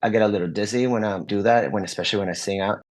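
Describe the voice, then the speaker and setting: A man's voice: lowish voice, rapid-fire. A young adult man, a guest musician, answering interview questions openly in a rambling way, full of "like" fillers and restarts.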